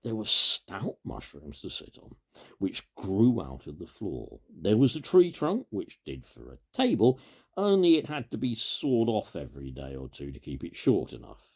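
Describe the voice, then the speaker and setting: A man with a very low, creaky voice reads a children's story at an unhurried pace. His pitch swings widely as he moves between informal asides and animated, husky storytelling. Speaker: storybook reader.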